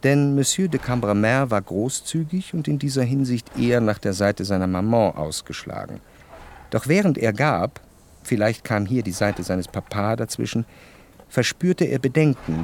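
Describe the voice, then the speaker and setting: A man acting as a refined, reflective narrator who recalls society life in measured, lyrical radio-play narration. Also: lowish voice, darkish tone, a little hiss or hum. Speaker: first-person narrator of a radio drama.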